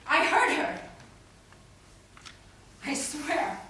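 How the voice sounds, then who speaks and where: A young woman reciting a dramatic stage monologue in an intense, theatrical manner with deliberate pauses. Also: sits high, huge pitch swings, pushed and bright. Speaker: stage performer. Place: indoor stage performance in a theatre or hall. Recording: echoey hall, distant mic, faint noise.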